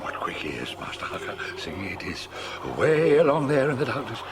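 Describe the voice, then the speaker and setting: Lively, theatrical old wizard. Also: male voice, medium pitch, normal speed, background noise. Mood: solemn, gentle. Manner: theatrical, archaic phrasing. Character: commanding, kindly old wizardly showman guiding the rescue. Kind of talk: dramatised audiobook acting. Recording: loud music behind.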